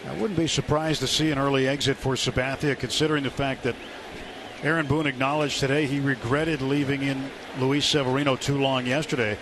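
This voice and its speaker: Male baseball analyst over loud background noise. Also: mid-range voice, level tone, fairly quick. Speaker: baseball radio commentator, analyst. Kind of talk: live sports analysis, conversational. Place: ballpark broadcast booth. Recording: live stadium broadcast, loud background noise.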